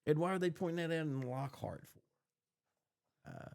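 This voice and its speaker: A man in a casual podcast chat, informal and opinionated, often halting as he searches for his words. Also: fairly high pitch, lively intonation, gravelly, darkish tone. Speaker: podcast co-host. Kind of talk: casual fan discussion.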